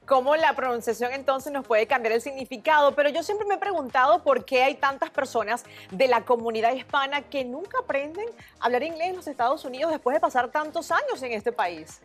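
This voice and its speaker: A woman with a notably high voice, interviewing a guest in a television studio. She asks her questions in a prompting, upbeat manner, with faint music playing under the conversation. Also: animated, fairly quick, hardly any pauses. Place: TV studio set.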